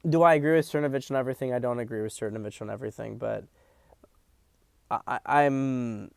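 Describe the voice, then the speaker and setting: A man's voice: medium pitch, brisk, vocal fry, clean tone, no room sound, clean studio sound. Blunt, profane male political commentator. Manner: blunt, profane, emphatic with repeated restarts. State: hiccups.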